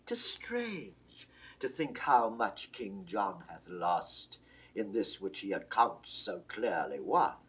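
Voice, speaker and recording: An actor playing a shrewd, worldly cardinal in a classical Shakespearean audio drama, speaking in a measured, oratorical way. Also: male voice, on the low side, sing-song, fairly bright tone, heavily acted, low-quality recording. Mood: shrewd counsel. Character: shrewd, worldly churchman, a cardinal coolly counselling.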